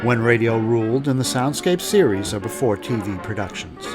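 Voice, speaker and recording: A man hosting a podcast, reading a plain, measured outro. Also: medium pitch. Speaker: podcast host. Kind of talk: scripted outro. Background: brass music.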